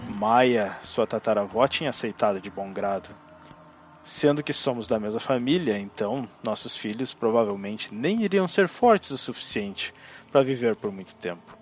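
An actor with a Brazilian accent playing a manipulative undead necromancer in an audio drama, theatrical, grandiose and cajoling. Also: male voice, mid-range voice, natural voice. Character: undead male necromancer ancestor, smug, manipulative, persuasive villain.

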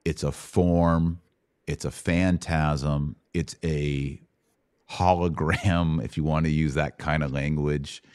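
Male podcast host, low pitch, dry sound, colloquial explainer of religious questions. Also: fairly even intonation, slow, darkish tone, clear, resonant tone, dry recording. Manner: explanatory, colloquial, emphatic repetition. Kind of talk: informal Bible question-and-answer teaching.

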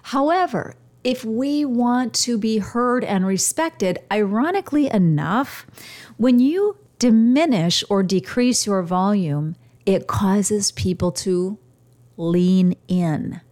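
A woman with a radio background coaches listeners on how to use their voice. She talks into a studio microphone with steady, deliberate pauses and sometimes raises or lowers her volume to show the point she is making. Her pitch sits in the middle for a woman. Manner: demonstrates volume changes with her voice; uses dramatic pauses, radio-trained delivery. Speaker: podcast host and communication coach. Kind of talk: instructional monologue.

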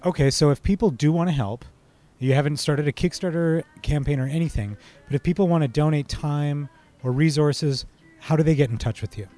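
A man's voice: a little high, brisk, mellow, faint music behind. A man hosting a podcast and asking questions in a conversational interviewing style.

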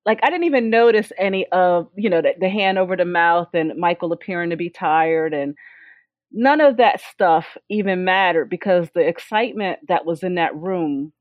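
A woman speaking as a guest in a casual roundtable and telling her story in an animated, chatty way. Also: on the low side, moderate pace, no echo, narrow, tinny audio. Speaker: panel guest.

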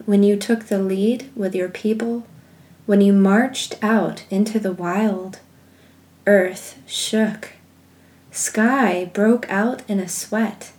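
A woman leading guided contemplative prayer and reading scripture in a measured, meditative manner with pauses. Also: medium pitch, mellow. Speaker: guided prayer podcast host.

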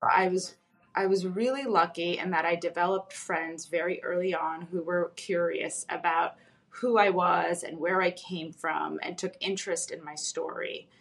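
A woman whose first language is Russian, speaking in a lowish voice. She talks as a guest in an unhurried, reflective way, often pausing with an um and letting her sentences trail off softly. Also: steady tone, gravelly. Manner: thoughtful, with frequent ums and soft trailing ends. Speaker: guest, psychologist. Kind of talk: reflective personal storytelling.

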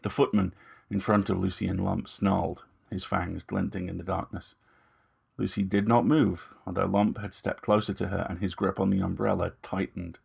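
A man's voice: deep voice, level tone, normal speed, vocal fry, darkish tone, slightly rough. A man reading scripted dramatic fiction as narrator.

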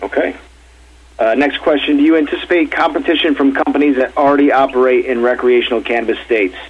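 A man's voice: mid-range voice, steady tone, fast talker. A man moderating an earnings call, reading out questions briefly and plainly. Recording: muffled lo-fi line, faint noise.

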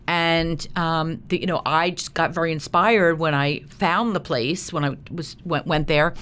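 A woman's voice: deep voice, steady tone, measured pace, fairly bright tone, clean tone. A woman speaking as an interview guest, telling anecdotes casually with asides and self-corrections. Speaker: interview guest, documentary producer.

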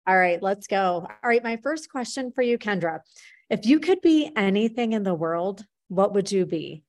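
A woman's voice: mid-range voice, lively intonation, moderate pace. A woman hosting a conversational podcast, affirming her guest with enthusiasm. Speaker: podcast host, marketing CEO.

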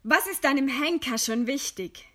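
A woman's voice: high-pitched, moderate pace, pushed and bright. An actress playing a stern, contemptuous lady in a radio play, questioning in a curt, demanding manner. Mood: cool, challenging.

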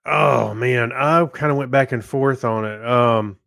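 A man's voice: mid-range voice, measured pace, gravelly, fairly bright tone, no echo, clean studio sound. A man co-hosting a podcast, chatting casually and reading listeners' comments aloud. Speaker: podcast co-host.

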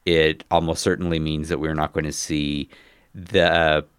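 A man with a very low, bass voice hosts a casual podcast chat while a cold is coming on. He talks haltingly, with ums and restarts. The sound is close and dry. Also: flat delivery, clean tone, no room sound. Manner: hesitant, many ums and restarts. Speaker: podcast host.

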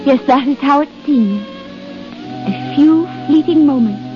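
An actress playing a dreamy, restless young princess, wistful and formal in 1930s radio style. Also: female voice, sits high, measured pace. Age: young adult. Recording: muffled 1930s radio recording, music behind.

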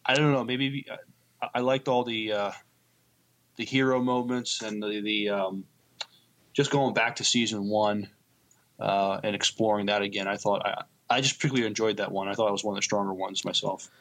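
A man co-hosting a podcast in casual chat, halting and repeating words as he thinks. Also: lowish voice, steady tone, fairly bright tone. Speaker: podcast co-host.

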